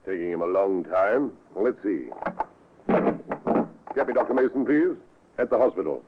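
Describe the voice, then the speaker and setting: Man playing a brisk police superintendent, tinny old radio. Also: fairly low pitch, expressive, background noise, natural voice. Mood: measured, thoughtful. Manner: clipped, musing, questioning. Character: brisk, skeptical British police superintendent, courteous but authoritative. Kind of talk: old-time radio drama acting. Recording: muffled vintage radio recording, narrow band.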